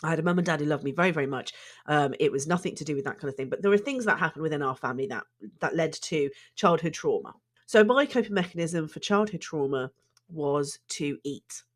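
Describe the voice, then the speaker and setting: A woman's voice: sits low, a little fast. Lady podcaster with a stuffy nose from a cold, chatty and earnest, middle-aged. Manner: warm, earnest, chatty with restarts. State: congested, bunged up. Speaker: podcast host, therapist. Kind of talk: solo podcast talk.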